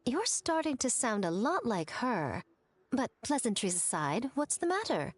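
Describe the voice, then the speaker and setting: A woman's voice: on the high side, big pitch swings, measured pace, breathy edge, heavily acted. Sly, lilting shrine lady. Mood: teasing. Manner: playful, poised, knowing. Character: sly, teasing, elegant woman of a shrine. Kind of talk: animated video game voice acting.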